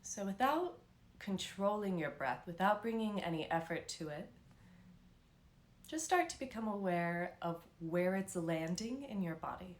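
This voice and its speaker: A woman leading a live guided meditation as an instructor, speaking in a calm, soothing, unhurried way with long pauses. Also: medium pitch, reverberant.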